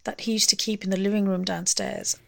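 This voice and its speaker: A woman's voice: medium pitch, flat delivery, brisk, vocal fry, fairly bright tone. A woman telling personal memories conversationally, leaning on key words as she goes. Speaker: interview guest, singer. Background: music.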